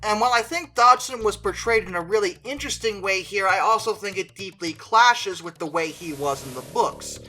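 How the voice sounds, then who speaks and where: Bright, emphatic reviewer over music. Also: medium pitch, lively intonation, brisk, few pauses. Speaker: video essayist. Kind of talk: opinionated movie review commentary.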